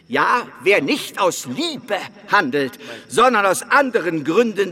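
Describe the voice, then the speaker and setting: Fervent preacher, high swooping voice. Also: male voice, sharp tone, heavily acted. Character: solemn, fervent male preacher telling a parable. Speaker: narrator and preacher. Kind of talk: dramatic sermon-like storytelling.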